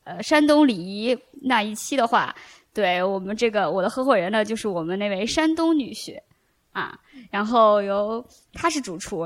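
A woman speaks as a podcast co-host in an everyday mid-range voice. Her delivery is chatty and self-deprecating, like casual conversation between friends. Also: medium pitch.

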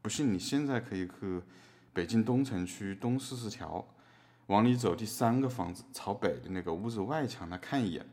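A low-pitched man acting out a role, the guileless defendant in a comic trial drama. He explains himself casually and matter-of-factly, in simple everyday words. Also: normal speed, a little mellow, close to an everyday voice. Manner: colloquial, artless, matter-of-fact. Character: guileless, easygoing male artist defendant who just makes bricks. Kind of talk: scripted storytelling in satirical courtroom drama.

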